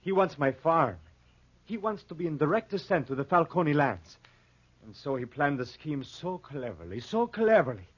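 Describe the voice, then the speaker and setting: A desperate fugitive in 1940s radio style, pleading and suspicious. The voice is rough and husky, and the recording is muffled with age. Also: male voice, on the high side, very animated, moderate pace. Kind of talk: 1940s radio drama acting.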